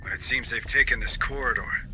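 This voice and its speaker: An actor playing a steady, matter-of-fact male marine sergeant giving his squad orders in a video game. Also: medium pitch, a little fast, soft background music. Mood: commanding. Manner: commanding, dry and matter-of-fact. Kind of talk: video game cutscene dialogue.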